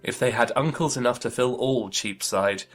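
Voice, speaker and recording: A man playing a kind, good-natured young gentleman in a period audiobook drama, speaking earnestly and politely. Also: medium pitch, normal speed, soft background music, close to an everyday voice.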